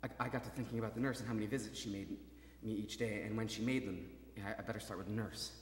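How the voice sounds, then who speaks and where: A man's voice: lowish voice, steady tone, a little hiss or hum, lightly acted. A man acting an anguished soldier in an echoing hall. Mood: tense, hushed. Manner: halting, repetitive, intense, swinging from murmurs to cries. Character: maimed, faceless young soldier, anguished, desperately hopeful. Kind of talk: dramatic stage monologue. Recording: reverberant hall, stage performance.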